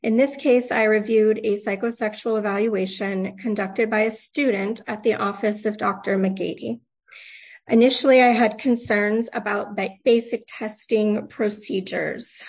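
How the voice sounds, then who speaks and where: Woman, congested from a cold, husky tone; measured formal statement at a hearing. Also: mid-range voice, low-quality recording. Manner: formal, measured, clears throat. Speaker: complainant at a board hearing. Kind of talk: reading a prepared statement.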